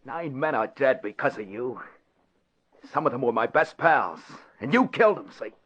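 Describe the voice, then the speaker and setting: A man's voice: mid-range voice, expressive, normal speed, a bit bright. An actor playing a furious, threatening working man out for revenge in an old radio melodrama. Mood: bitter anger. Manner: rough, threatening. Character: vengeful, furious working man bent on justice. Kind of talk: 1940s radio melodrama acting. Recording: dull vintage radio recording.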